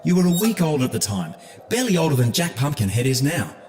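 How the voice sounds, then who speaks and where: An actor playing a loyal, reassuring emperor and old friend in a fantasy audio drama, earnest and insistent. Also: male voice, a little high, very animated, fast, darkish tone, slight background noise.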